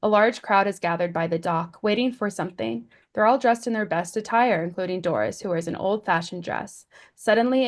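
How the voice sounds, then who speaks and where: Mid-pitched woman narrating a screenplay. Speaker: stage-direction reader.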